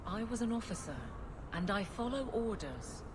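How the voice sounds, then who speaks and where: An actress playing a stern, principled guard officer in a video game, speaking firmly and bluntly. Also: female voice, mid-range voice, lively intonation, moderate pace, background noise, lightly acted. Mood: firm, reproving.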